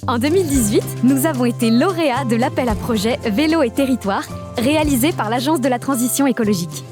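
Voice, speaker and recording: High-voiced upbeat woman narrator. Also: expressive, music in the background. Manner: upbeat, informative, lists facts briskly. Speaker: regional nature park staff. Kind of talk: scripted explainer narration.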